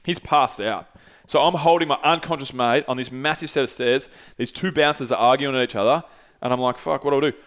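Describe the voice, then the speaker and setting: Brief: podcast host, 30s, loose storyteller, salty language, small studio. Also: male voice, mid-range voice, fairly quick. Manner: animated, swearing, gesturing. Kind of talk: casual storytelling. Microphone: headset with desk mic.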